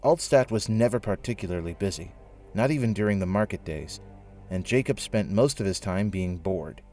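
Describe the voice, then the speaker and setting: Low-voiced man narrating an audio drama. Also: animated, moderate pace, warm tone. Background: music.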